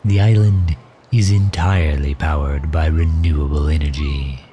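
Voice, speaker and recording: A man playing a suave, protective villain in an ASMR audio roleplay, speaking in a gentle, courtly, formal and measured manner. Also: rumbling low, steady tone, soft background music. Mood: proud, explanatory. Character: suave, protective male villain doting on his captive guest.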